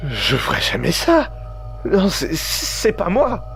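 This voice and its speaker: A man's voice: high-pitched, wildly swooping pitch, takes it slowly, gravelly, a bit bright, soft background music, full character voice. A man playing a desperate, unstable time-traveller husband in an audio drama, stammering and agitated as he pleads and defends himself. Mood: panicked, defensive. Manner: stammering, agitated, breaks off phrases. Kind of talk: amateur audio drama acting.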